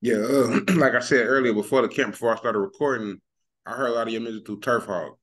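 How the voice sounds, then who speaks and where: A man's voice: medium pitch, quick pace, a bit bright. A man with an Oklahoma accent hosting a casual podcast, easygoing and conversational, often saying "you know what I'm saying.". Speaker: podcast host.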